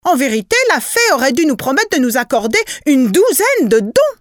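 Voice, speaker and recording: An excitable, greedy peasant wife from a storybook talks emphatically, with dramatic pleading, as a woman reader acts her out. Her voice is high and leaps about lively. It is recorded dry and close. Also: normal speed, no room sound. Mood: scheming.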